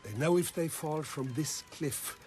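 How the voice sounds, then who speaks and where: A man playing a grave, grandly sentimental nature documentary narrator in a cartoon, deliberate and dramatic. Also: a little high, a little mellow, slight background noise, close to an everyday voice.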